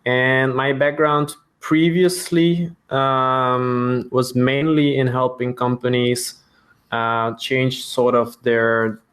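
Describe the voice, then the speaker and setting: A man speaking as a podcast guest, explaining business in measured, somewhat hesitant phrases. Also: medium pitch, level tone, a bit bright, clean tone. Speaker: podcast guest, startup founder.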